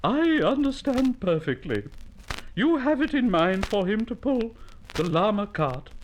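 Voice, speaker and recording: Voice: medium pitch, very animated, slight background noise, voice pushed up, rough recording. A storyteller voicing a stern, long-suffering mother llama in a children's story, grand, imperious and theatrical. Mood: resigned. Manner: imperious, theatrical, drawn-out words.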